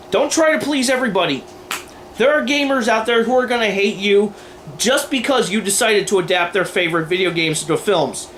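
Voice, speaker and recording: A man's voice: sits high, fairly bright tone, a little hiss or hum. A man delivering opinion commentary to camera in an emphatic, wordy, informal way, like a host going through a list. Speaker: video host and aspiring filmmaker.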